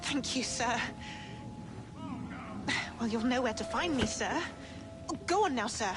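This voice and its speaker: Game role: genteel, flustered Victorian nurse, highish and very expressive, loud music behind. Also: female voice, measured pace. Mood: grateful, coy.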